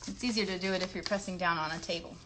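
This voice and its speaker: A woman's voice: fairly low pitch, steady tone, very fast, background noise. A woman hosting a children's craft show, giving simple step-by-step directions in an encouraging way and putting questions to the young viewers.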